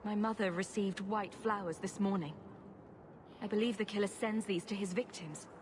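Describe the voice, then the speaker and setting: Actress for sarcastic heroine of a fantasy game; middle register, dry wit, light noise behind. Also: female voice, medium pitch, moderate pace, lightly acted. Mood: grave. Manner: wry, flippant, teasing. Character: wry, sarcastic rogue heroine, quick-witted adventurer. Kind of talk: video game voice acting. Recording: faint background ambience noise.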